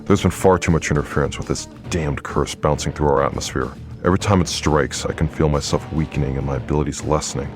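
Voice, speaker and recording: An actor playing a stern, imperious immortal leader in an audio drama, grave and exasperated in manner. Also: male voice, rumbling low, steady tone, fast, hardly any pauses, over music. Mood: grave, urgent. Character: stern, commanding male immortal leader with psychic senses.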